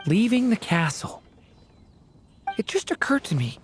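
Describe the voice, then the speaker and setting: A woman voicing a gentle, earnest young boy in an anime game, speaking politely and thoughtfully with some hesitation. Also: mid-range voice, very animated, a little slow, faint music behind.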